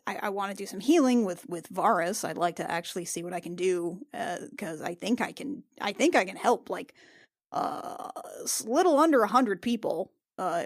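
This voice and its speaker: A woman playing a frank, teasing young healer in improvised tabletop roleplay, speaking casually and haltingly and swearing freely. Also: medium pitch, dry recording, clean studio sound, natural voice.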